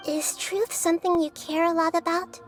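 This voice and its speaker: A young goddess of wisdom in a video game. Her voice is high and gentle, calm and measured as she gives out wise counsel, with faint music underneath. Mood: calm, frank. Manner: calm, measured, softly philosophical. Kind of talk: dramatic English video game voice acting.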